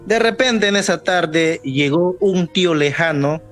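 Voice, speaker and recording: A man's voice: on the high side, measured pace, a bit bright, soft background music. A man from Amazonas in Peru whose first language is Wambisa, carefully telling a personal story and searching for his words. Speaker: guest. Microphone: video call.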